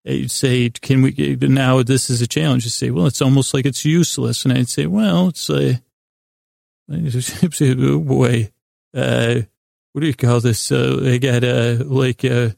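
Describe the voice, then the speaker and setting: A man with a creaky voice hosting a bedtime podcast, improvising a halting, meandering, self-deprecating monologue that slips into imagined dialogue. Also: mid-range voice, fairly even intonation, darkish tone, no room sound, clean studio sound. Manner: acts out imagined back-and-forth dialogue in his own voice. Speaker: podcast host.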